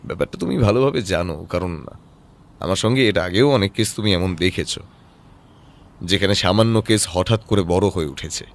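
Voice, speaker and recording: An actor playing a composed, analytical private detective in an audio drama, reasoning aloud in a measured way. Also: male voice, low-pitched, gravelly.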